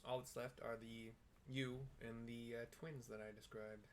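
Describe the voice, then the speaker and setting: A man casually narrating and audio-describing a video game playthrough for blind viewers, in a relaxed, halting way, sometimes spelling names letter by letter. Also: medium pitch, a little hiss or hum.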